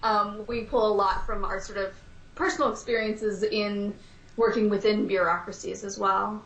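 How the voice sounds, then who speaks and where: A woman speaking casually as an interview guest, thoughtful and halting as she explains. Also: medium pitch, flat delivery, a bit bright, reverberant, low-quality recording. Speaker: podcast guest, audio drama maker.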